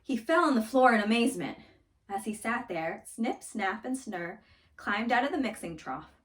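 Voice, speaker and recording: A woman reading a children's story aloud in an expressive, dramatic storytelling manner. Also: a little high, moderate pace, distant mic. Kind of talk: scripted storybook read-aloud.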